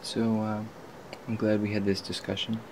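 A man performing a casual ASMR roleplay as a laid-back island beach drinker, rambling and halting as he chats. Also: lowish voice, completely flat delivery, background noise.

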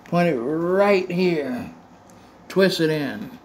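A man talks through a casual home review, filming on a phone he holds himself. His voice sits a little high and wanders, restarting phrases as he goes. The room is echoey, with a faint hum of ambience behind him. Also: animated, drawn-out words, a little mellow. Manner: rambling, repeats and restarts phrases. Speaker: cigar vlogger. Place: home room with bare walls. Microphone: phone held while filming.

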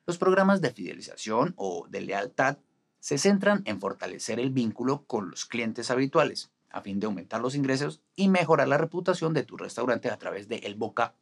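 A man in his forties explaining restaurant marketing to camera in a studio, with a steady, instructive delivery. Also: medium pitch, moderate pace, creaky. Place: studio in front of a green screen.

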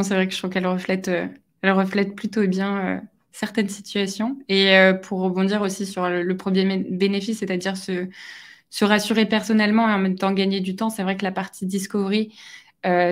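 A young adult woman hosting an interview over a video call, asking questions hesitantly with many fillers and restarts. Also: medium pitch. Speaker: interview host.

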